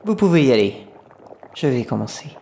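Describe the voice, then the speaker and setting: Casting: actress for cryptic, unhurried female spider-folk healer, audio drama. Dark, soft timbre, roomy sound. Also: extremely low pitch, big pitch swings, full character voice. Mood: solemn, composed. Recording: echoey, faint background noise.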